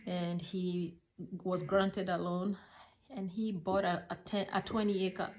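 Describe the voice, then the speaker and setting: A middle-aged Kenyan woman with a fairly low voice, the kind you would hear recounting her life slowly across a kitchen table, pausing to find words in her second language. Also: little pitch movement, a little mellow, low-quality recording. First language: a Kenyan mother tongue. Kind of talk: personal storytelling.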